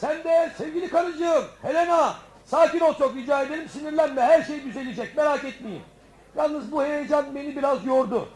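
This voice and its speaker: A man's voice: very high voice, fairly quick. A man playing a breezy, reassuring husband swallowed by a crocodile, in a radio theatre comedy. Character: swallowed husband calling cheerfully from inside crocodile's belly. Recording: noisy old radio drama recording.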